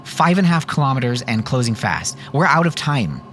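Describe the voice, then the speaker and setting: A man's voice: medium pitch, big pitch swings, fairly quick, fairly bright tone, soft background music, pitched above the performer's own voice. A man voicing an anxious man on the run, stammering with breathless urgency in an audio story. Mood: urgent.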